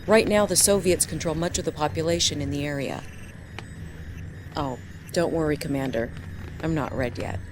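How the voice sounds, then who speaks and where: Woman as a composed, low-voiced military intelligence officer. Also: moderate pace, long pauses, background noise, close to an everyday voice. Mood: composed, urgent. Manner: crisp, businesslike briefing. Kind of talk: video game cutscene acting.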